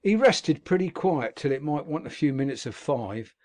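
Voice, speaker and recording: A man playing a gruff old convict. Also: fairly high pitch, animated, moderate pace, a little mellow, natural voice. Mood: grim recounting. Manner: earthy dialect speech, gruff storytelling. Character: rough old male convict telling his past. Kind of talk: audiobook character reading.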